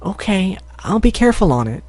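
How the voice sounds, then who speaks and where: Shy gentle filly, voiced by a man. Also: sits high, big pitch swings, fairly quick, no room sound, voice pushed up. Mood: soft. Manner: soft and hesitant.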